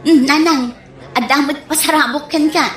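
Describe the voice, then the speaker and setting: A woman's voice: high-pitched, fairly even intonation, moderate pace, fairly bright tone. A woman playing a patient, practical grown daughter in a comedy radio drama. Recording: roomy echo.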